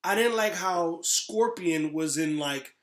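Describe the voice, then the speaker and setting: A man co-hosting a podcast, chatting casually in an emphatic, slangy, opinionated way like an excited fan. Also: on the high side, slow, reverberant. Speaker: podcast co-host. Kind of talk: casual chat about games and movies.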